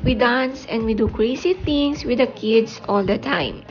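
A woman reading a scripted showbiz news voice-over and reading social media captions aloud in a calm mix of Tagalog and English. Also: on the high side, measured pace, highs cut off, soft background music.

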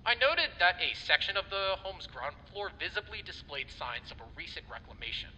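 An actor playing a wary, superstitious investigator giving dramatic, emphatic testimony in a radio drama. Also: male voice, sits high, big pitch swings, normal speed, sharp tone, exaggerated voice. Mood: uneasy recounting. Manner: dramatic, emphatic, formal wording. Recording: hollow reverberant hall, faint noise.

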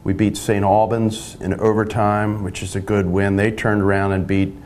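An older man, a long-serving high school lacrosse coach, answering modestly and with restarts in a TV studio interview on a lapel mic. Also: little pitch movement, a little mellow, a little hiss or hum. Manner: modest, measured, stumbling restarts.

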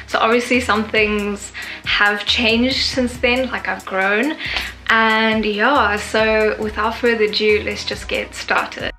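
Young woman YouTuber, about nineteen, crisp bright tone, casual filler-heavy chat from her bedroom. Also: medium pitch. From Zimbabwe. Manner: chatty, upbeat, many "like" and "yeah" fillers. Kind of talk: casual facts vlog to camera. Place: bedroom with a sofa, indoors. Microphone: camera in front at desk distance.

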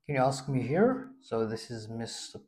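A man's voice: mid-range voice, very animated, moderate pace, darkish tone, a little hiss or hum. A young man giving a spoken English test, patiently prompting and correcting a student's answers in a small classroom. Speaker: English teacher.

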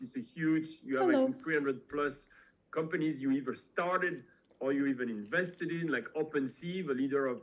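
A middle-aged man with a husky, breathy voice hosts a casual online call. He talks with frequent pauses and filler words. His voice is heard through a video-call mic. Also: on the high side, low-quality recording. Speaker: host.

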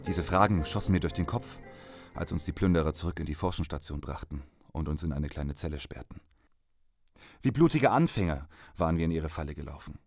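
An actor playing a grim, disillusioned soldier and survivor in an audio drama, brooding and serious. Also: male voice, rumbling low, animated, fairly quick, darkish tone, soft background music, full character voice. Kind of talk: audio drama narration and dialogue.

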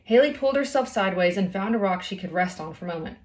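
A woman's voice: medium pitch, expressive, quick pace, darkish tone. A woman in her thirties reading a children's novel aloud as a storyteller, recorded indoors at home. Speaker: storybook reader. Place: small indoor room at home.

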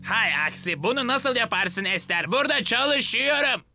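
A little cartoon mole speaks very high with a piercing, forceful edge. He is a conniving ham, boastful and theatrical. Light music sits behind him. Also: expressive, normal speed, gravelly, exaggerated voice. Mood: annoyed. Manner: hammy, boastful. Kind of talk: Turkish-dubbed cartoon acting.

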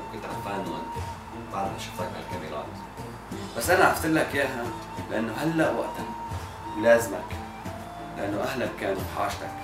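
A man playing a calm, generous shopkeeper who speaks softly and reassuringly, recorded from a distance in a roomy space with music behind. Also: fairly low pitch, lightly acted. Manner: gentle, warm, reassuring. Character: generous, calm shopkeeper friend, soft-spoken.

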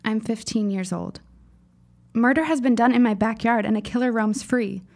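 A woman's voice: fairly high pitch, moderate pace, vocal fry, darkish tone. An actress playing a precocious fifteen-year-old girl in an audio drama, speaking in formal, dramatic phrases. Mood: earnest, dramatic. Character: precocious, earnest fifteen-year-old girl writer, shaken but insistent.

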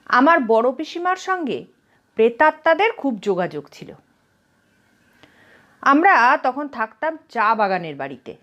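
A woman reading a story aloud as a narrator, in an expressive storytelling style. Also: fairly high pitch, unhurried, pauses often, muffled recording. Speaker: story reader, channel host.

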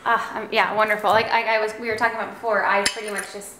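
A young woman chats keenly during a kitchen cooking demo, dropping quick agreements and eager questions. Her mid-range voice rings off the hard surfaces of a roomy kitchen, with pots and cutlery clinking clearly behind her. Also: medium pitch, level tone, noisy background. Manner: chatty, agreeable, quick back-channel replies. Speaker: actress co-host. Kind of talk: casual cooking chat. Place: home kitchen, indoors. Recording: roomy kitchen with clattering dishes.

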